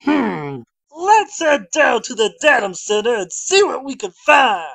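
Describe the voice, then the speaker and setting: A man's voice: extremely high pitch, big pitch swings, a little slow, gravelly, a bit bright, no room sound, heavily acted. A man voicing a sly, slick cartoon shopkeeper with a folksy, huckstering manner. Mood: puzzled. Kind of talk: cartoon fandub acting.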